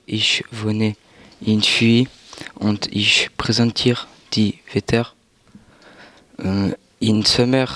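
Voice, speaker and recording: A man speaks in a low, flat voice with long pauses and frequent fillers. He searches for words as he gives a classroom presentation. The recording is dry and close. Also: no room sound. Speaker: student presenting the weather. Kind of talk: classroom presentation in a learned language.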